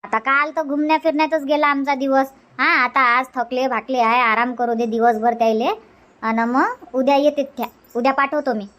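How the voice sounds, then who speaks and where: A woman as an insistent, high-voiced village aunt. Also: flat delivery, moderate pace, a bit bright. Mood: firm, scolding. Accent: Varhadi Marathi from Vidarbha. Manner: insistent, repetitive, scolding. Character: bossy, hospitable middle-aged village woman, insistent aunt. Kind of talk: Marathi cartoon comedy dialogue.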